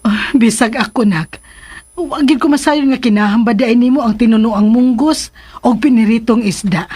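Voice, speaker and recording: Doting gentle mom in a radio drama, high voice, reassuring. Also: female voice, moderate pace, husky. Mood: affectionate. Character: doting, gentle mother of a grown son.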